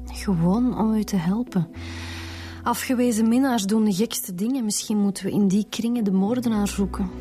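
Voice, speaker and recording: Woman as mellow Flemish police inspector. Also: mid-range voice, normal speed, soft background music, close to an everyday voice. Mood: calm, probing. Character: matter-of-fact, diligent female police inspector. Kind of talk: Belgian radio police drama acting.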